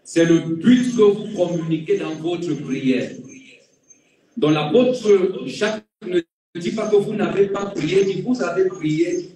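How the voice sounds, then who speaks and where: A man preaching as a pastor, repeating key phrases for emphasis and swinging between quiet and loud delivery. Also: sits high, takes it slowly. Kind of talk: sermon. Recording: very echoey room, distant mic.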